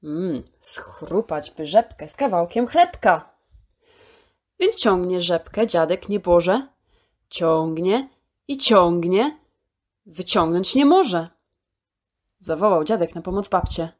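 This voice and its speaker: A woman storyteller reciting a children's poem in an expressive, theatrical way, drawing out key words. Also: on the low side, takes it slowly, long pauses.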